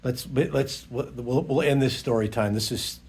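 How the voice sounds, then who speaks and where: A man in casual conversation, asking questions in a halting, repetitive way. His voice is medium in pitch with a strong gravelly creak. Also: darkish tone. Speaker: interviewer prompting a relative's stories. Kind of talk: casual chat.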